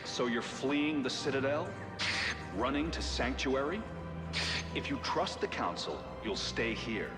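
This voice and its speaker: A man's voice: medium pitch, lively intonation, measured pace, natural voice. An actor playing a persuasive man in a sci-fi game, pointed and challenging in his delivery. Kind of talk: video game voice acting. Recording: echoey hall with music behind.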